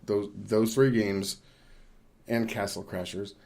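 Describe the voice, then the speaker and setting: A man's voice: fairly low pitch, fairly even intonation, takes it slowly, creaky, a little mellow. A man talking casually as a podcast host, in a rambling, informal and opinionated way. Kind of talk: casual discussion of games.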